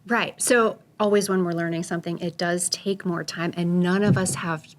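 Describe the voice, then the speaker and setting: Chatty woman explaining, low-ish voice. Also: fairly even intonation, moderate pace, noisy background. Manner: chatty, tags lines with "right?". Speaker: accessibility guest speaker; teacher. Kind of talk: casual professional discussion.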